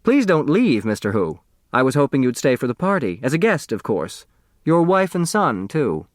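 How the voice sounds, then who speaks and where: A man's voice: mid-range voice, sing-song, normal speed, no room sound, clean studio sound, voice pushed up, natural voice. A man voicing an authoritative woman judge in a storybook, polite and composed. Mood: gracious.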